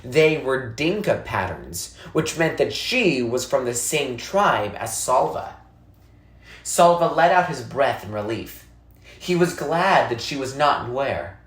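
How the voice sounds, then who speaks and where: A narrator reading a children's novel aloud in a measured, expressive storytelling way. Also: mid-range voice. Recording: echoey, roomy sound.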